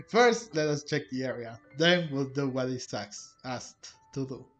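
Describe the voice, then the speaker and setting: Casting: relaxed male let's-play host, unhurried and stumbling, slightly high voice, faint music behind. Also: dull audio. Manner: halting, repeats himself, chuckly. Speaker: gaming video host. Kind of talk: casual let's play commentary.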